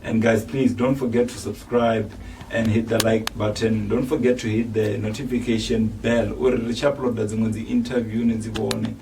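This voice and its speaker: A man's voice: fairly low pitch, flat delivery, moderate pace. A man with a South African accent hosting a casual interview in a laid-back, slangy way. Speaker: host. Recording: distant mic with faint noise.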